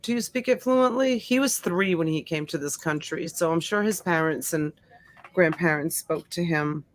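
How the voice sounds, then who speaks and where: A woman's voice: deep voice, normal speed. A middle-aged woman whose first language is Italian, hosting a live-stream auction and chatting casually with viewers, greeting them by name with plenty of fillers. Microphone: USB desk mic.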